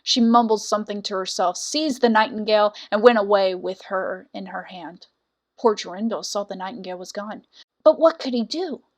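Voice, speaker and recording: A woman reading a scripted fairy tale as a storyteller, with dramatic, deliberate phrasing. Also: mid-range voice. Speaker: storyteller, audiobook narrator.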